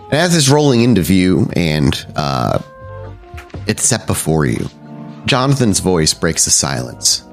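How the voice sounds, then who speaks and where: A man's voice: deep voice, sing-song, a little slow, soft background music. A man narrating a tabletop roleplaying game descriptively as game master.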